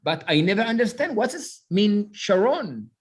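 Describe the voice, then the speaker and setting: A middle-aged rabbi and author from Ethiopia, speaking with an Ethiopian accent, tells his life story in an interview, haltingly and with many fillers as he searches for words. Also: sits high, very animated.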